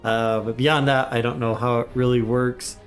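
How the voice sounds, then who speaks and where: A man's voice: medium pitch, flat delivery, normal speed, soft background music. A man, a father of young kids, chatting casually while livestreaming a game, his voice a little congested. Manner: chatty, upbeat, greets chat often. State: slightly congested from a cold. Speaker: livestream gamer.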